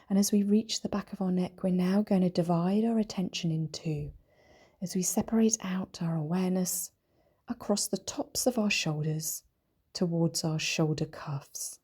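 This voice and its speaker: Low, breathy woman guiding meditation. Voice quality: soft, breathy, whispery. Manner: gentle, soothing, slow and deliberate with long pauses. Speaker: meditation teacher and guide. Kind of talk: guided meditation, body scan.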